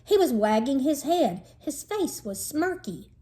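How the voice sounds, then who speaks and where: A woman narrating a children's storybook in an expressive, dramatizing read-aloud style. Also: high voice, a little slow.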